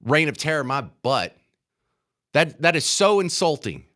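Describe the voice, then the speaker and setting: An American man hosting talk radio with a high-pitched, forceful voice that swings widely in pitch. He delivers an indignant political monologue, hammering and repeating his key phrases into a studio mic. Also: sing-song, a little slow. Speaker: radio talk show host.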